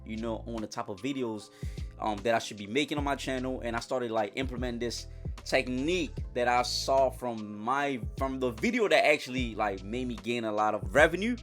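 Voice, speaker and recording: A man's voice: medium pitch, moderate pace, a bit bright, faint music behind. A young man from Congo whose first language is French, talking casually and energetically straight to his viewers in a vlog, full of slang. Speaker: YouTuber. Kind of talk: casual motivational vlog talk.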